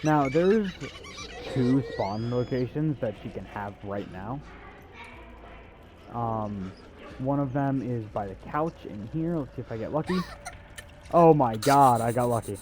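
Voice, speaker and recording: A man's voice: medium pitch, lively intonation, a little slow. A young man giving casual, sarcastic video game walkthrough commentary with crude jokes. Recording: game music under the voice. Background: game music and sounds.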